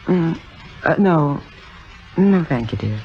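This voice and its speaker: An actress playing a composed, wry married society woman who quietly schemes, with poised, confiding delivery in 1950s radio drama style. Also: low-pitched, animated, slow, heavily acted. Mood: gentle, uneasy. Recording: muffled old radio recording with music behind.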